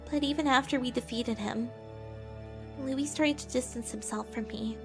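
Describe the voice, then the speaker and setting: A woman's voice: high voice, normal speed. A woman playing an earnest, wounded and insecure young woman in a dramatic audio drama, with music behind her. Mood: sorrowful, reflective.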